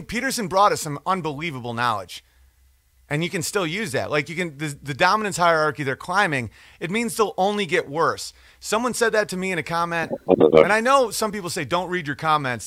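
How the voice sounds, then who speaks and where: Male podcast host, fairly high bright forceful voice, dry close sound, opinionated, sweary, rambling monologue. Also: no echo. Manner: profane, opinionated, digressive. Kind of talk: casual ranting monologue, reading and answering comments.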